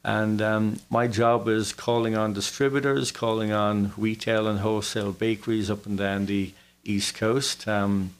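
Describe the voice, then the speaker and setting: A man in his forties with a Dublin Irish accent, recounting his own story casually as an interview guest, with hesitant pauses. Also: lowish voice, little pitch movement, smooth. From Dublin, Ireland. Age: 40s. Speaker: interview guest, business owner.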